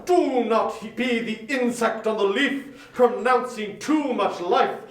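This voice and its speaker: A man's voice: very high voice, slow. A man playing a solemn, stern male Christmas spirit in a dramatic reading, speaking in weighty, declamatory pronouncements, recorded with some distance. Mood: stern rebuke. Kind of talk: dramatic reading of a Victorian ghost story. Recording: roomy echo, distant from microphone.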